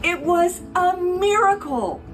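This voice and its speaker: A woman reading a children's picture book aloud in an expressive, deliberate way, with playful asides to her listeners. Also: extremely high pitch, music in the background. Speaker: picture book reader for a pet rescue show. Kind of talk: animated children's storybook read-aloud.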